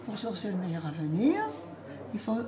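A woman's voice: fairly low pitch, normal speed, noisy background. A woman speaking emphatically at a dinner table indoors. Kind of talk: table conversation.

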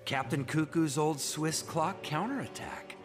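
An actor playing a cool, calculating villain in an animated video game, measured and deliberate. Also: male voice, on the high side, close to an everyday voice. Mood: coolly appraising. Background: music.

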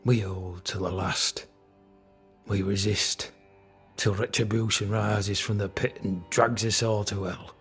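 Slow, low-voiced, despairing fort captain. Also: male voice, little pitch movement, fairly bright tone, slightly rough, faint music behind, heavily acted. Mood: grim, doom-laden. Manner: slow, grim, doom-laden formal speech. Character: despairing, fatalistic male fort captain, bitter and grandiose. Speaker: military officer character in a video game. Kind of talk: dramatic video game acting.

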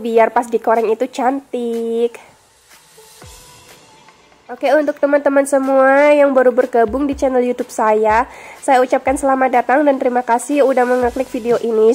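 Young woman, nasal from a cold, bright chatty vlog voice-over, light music under it. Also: on the high side. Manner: chatty, friendly, addresses viewers directly. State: stuffy nose from a cold. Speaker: vlogger. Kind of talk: casual voice-over narration.

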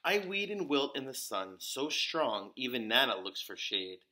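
A man reading a children's picture book aloud as a storyteller, with deliberate, dramatic emphasis. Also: mid-range voice, very animated, background noise. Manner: deliberate, dramatic emphasis with occasional whispers and exclamations.

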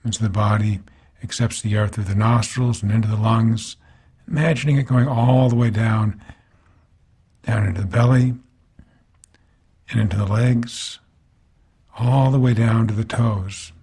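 A man guiding a body scan meditation as a teacher, speaking calmly in gentle, unhurried phrases with pauses between them. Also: on the low side, husky.

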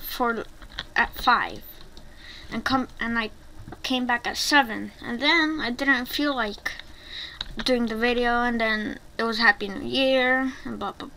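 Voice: mid-range voice, gravelly, pushed and bright. A female-sounding gaming YouTuber giving casual gameplay commentary in a rambling, hesitant way. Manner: rambling, hesitant, halting delivery. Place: home bedroom, voice over gameplay. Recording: noisy home mic with keyboard clicks.

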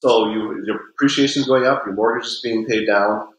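A realtor, a man, giving casual advice in a relaxed, filler-heavy way from his home office over a video call. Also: on the low side, fairly quick. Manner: relaxed, repeats filler words. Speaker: realtor, podcast guest. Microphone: laptop mic on video call. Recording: roomy video call audio.

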